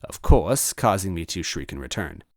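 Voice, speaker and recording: A man reading a story aloud as narrator in an animated style, close on the microphone. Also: low-pitched, moderate pace, no echo. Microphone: close microphone, dry.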